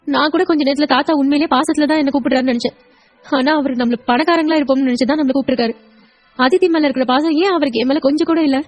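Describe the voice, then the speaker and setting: A woman's voice: high-pitched, very fast, soft background music, lightly acted. A woman playing a sensitive, emotional young wife and daughter in an audio story, speaking earnestly. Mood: hurt, upset. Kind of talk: Tamil audio story acting.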